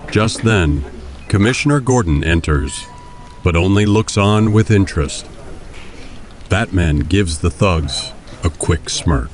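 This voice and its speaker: A man's voice: low-pitched, lively intonation, slow pace, a little hiss or hum. A man reading scripted narration as the narrator of an audio drama. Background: music.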